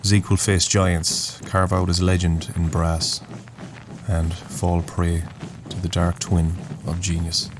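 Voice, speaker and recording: Very deep-voiced man narrating solemnly. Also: monotone, background noise. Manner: solemn, poetic, deliberate. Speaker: narrator, host of a story series. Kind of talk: scripted dramatic storytelling narration. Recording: music bed under the voice. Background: music.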